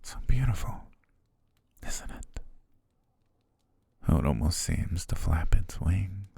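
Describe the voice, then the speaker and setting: A young man playing a devoted, quietly possessive butler, speaking softly and doting in an ASMR roleplay on a close mic. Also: low-pitched, animated, takes it slowly, gravelly, breathy edge, dry recording, exaggerated voice. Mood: soothing whisper. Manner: soft, coaxing, doting, lulling. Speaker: ASMR voice actor.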